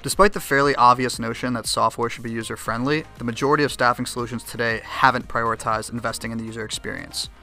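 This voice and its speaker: A young man delivering scripted promotional narration for software. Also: medium pitch, steady tone, fast, hardly any pauses, faint music behind. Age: young adult. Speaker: software product presenter.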